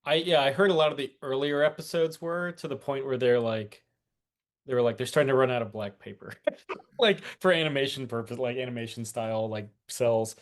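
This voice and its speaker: A man's voice: mid-range voice, fairly quick. A man co-hosting a podcast in casual chat, with loose, rambling asides. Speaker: podcast co-host.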